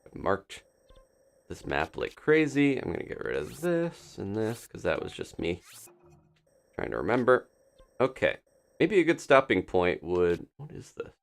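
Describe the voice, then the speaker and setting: A man's voice: on the low side, wildly swooping pitch, a little slow, creaky, soft background music. A man giving casual gameplay commentary as a streamer, rambling as he thinks aloud. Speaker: game streamer.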